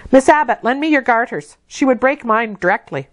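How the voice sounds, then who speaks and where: A woman voicing a brisk, firm nursemaid in a storybook, admonishing a child. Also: on the high side, expressive, no echo, pitched above the performer's own voice, lightly acted. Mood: commanding.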